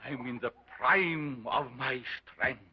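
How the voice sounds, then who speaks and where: A man playing a grandiose carnival showman and self-styled scientist, speaking in a booming, theatrical way. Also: takes it slowly, sharp tone, a little hiss or hum. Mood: solemn. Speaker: sideshow doctor presenting an ape.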